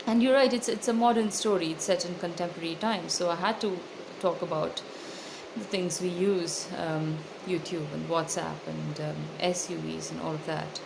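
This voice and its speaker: A woman with an Indian accent giving thoughtful, articulate interview answers as an author, in a measured way with hesitant pauses. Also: lowish voice, steady tone, gravelly. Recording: loud background noise.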